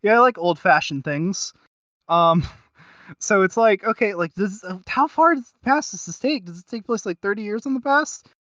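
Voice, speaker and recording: Male co-host, notably high voice, slangy and self-deprecating, stop-start casual chat, dry and slightly dull sound. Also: expressive, fairly bright tone, no echo. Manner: halting, repeats himself, slangy, self-deprecating. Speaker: podcast co-host reviewing audio dramas. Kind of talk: casual chat, fan discussion.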